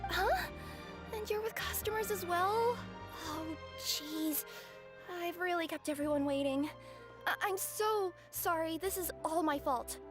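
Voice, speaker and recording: Eager young cat-spirit courier girl: high voice, hesitant and apologetic, anime game with music underneath. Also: unhurried. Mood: flustered, apologetic. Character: young female cat yokai courier, earnest, flustered, eager to please. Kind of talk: anime-style video game voice acting.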